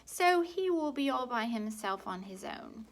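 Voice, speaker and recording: Woman doing a fussy little storybook girl, high and insistent, sing-song lilt. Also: sing-song, a little slow. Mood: worried.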